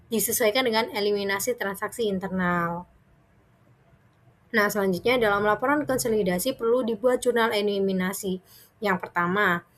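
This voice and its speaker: A young woman gives a student presentation in an informal, explanatory style. She talks conversationally and goes through things step by step in a medium-pitched voice. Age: young adult.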